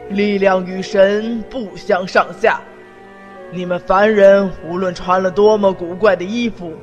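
A man playing a boastful, hot-tempered demon king who rants pompously, in a fan audio drama. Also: sits high. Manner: pompous, ranting, indignant. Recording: long hall reverb with music.

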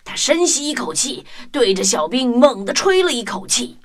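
Very animated woman narrating a children's story. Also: a little high, normal speed, heavy background noise. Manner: expressive storytelling.

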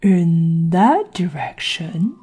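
Sly mad riddling cat, super slow drawl, really raspy n breathy, storybook style. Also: female voice, fairly low pitch, animated, mellow, soft background music, exaggerated voice. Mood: slyly amused. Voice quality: husky, breathy. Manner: drawling, deliberate. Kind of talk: audiobook character acting.

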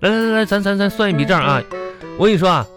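A talkative, genial dad in a radio comedy. He reasons and coaxes with animated intonation, tripping over and repeating his words, over soft music. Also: sits high, fast talker. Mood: flustered. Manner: stammering, repeating words, coaxing. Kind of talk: comedy radio drama acting.

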